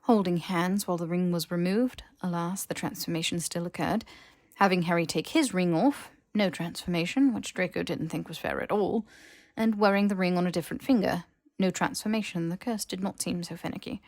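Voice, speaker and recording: A woman's voice: on the low side, fairly quick, darkish tone, no room sound. Woman narrating fiction, dry recording. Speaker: audiobook narrator.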